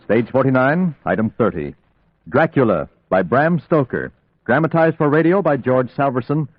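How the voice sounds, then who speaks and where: Vintage male announcer, formal and animated, slightly husky, dry band-limited old radio sound. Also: medium pitch, dry recording, phone-quality sound.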